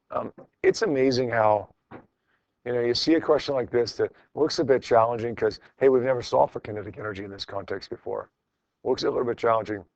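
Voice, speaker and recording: Middle-aged man as an encouraging, informal schoolteacher; mid-range pitch, lecturing with repeated phrases, captured slightly muffled. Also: creaky, dry recording. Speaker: high school physics teacher. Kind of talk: classroom lecture, working through problems with students. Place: classroom with students.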